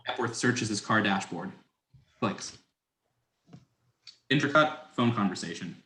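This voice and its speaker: An echoing, roomy recording carries a man's scripted narration. His voice is fairly low as he reads out stage directions. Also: animated, measured pace, pushed and bright.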